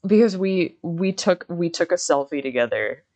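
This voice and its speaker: Young American streamer, mid-pitched voice, casual and rambling, doubles back on phrases mid-story. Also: animated, moderate pace, darkish tone. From Michigan, United States. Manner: rambling, backtracking with many repeated phrases. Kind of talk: casual chat on a livestream.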